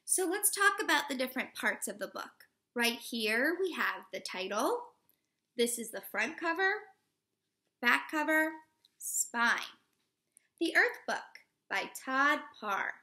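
A woman in her thirties, a teacher reading a picture book aloud to young children in an expressive, instructive way. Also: sits high, slow pace. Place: living room at home, indoors.

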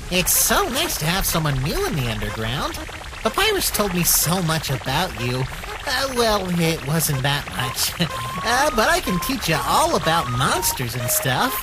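A little talking flower in a cartoon comic dub. It is sugary and folksy on top, mocking and menacing underneath. The pitch swings widely over background music. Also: male voice, low-quality recording. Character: small talking flower, falsely friendly, sly and menacing.

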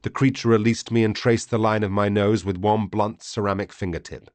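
A man reading a first-person story as an audiobook narrator, grave, theatrical and deliberate. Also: lowish voice, fairly even intonation, a little mellow, dry recording.

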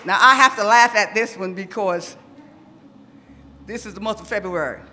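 A woman's voice: medium pitch, normal speed, background noise. A middle-aged woman making chatty, teasing announcements to a church congregation on a handheld mic in a large sanctuary. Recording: reverberant church hall with music.

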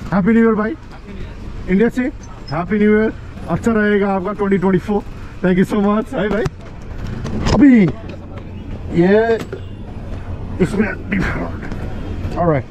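Man, Nepali accent, high-pitched, very fast, outdoor street vlog on a phone. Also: noisy background. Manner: rapid mix of English and Nepali, frequent tag questions. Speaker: vlogger. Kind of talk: casual vlog talk to camera. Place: city street outdoors at night.

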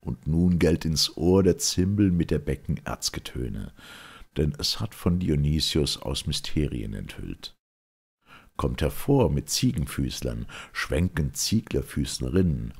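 A man with a gravelly, husky voice reciting classical dramatic verse in a solemn, theatrical, measured manner. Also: rumbling low, big pitch swings, a little mellow. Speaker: reader of classic literature.

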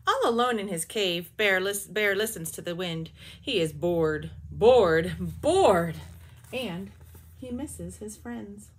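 A woman in her forties, a teacher, reading picture books aloud to children in a lively, drawn-out storybook way with soft asides, recorded on a webcam in a small bedroom. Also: medium pitch, a little hiss or hum. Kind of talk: children's read-aloud with comments.

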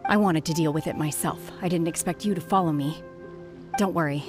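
Aloof young warrior woman, acted, fairly low voice. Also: fairly quick, music in the background, lightly acted. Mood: calm, detached. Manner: terse, detached, calm. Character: aloof, reserved young female warrior, quietly sincere. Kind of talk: scripted video game voice acting.

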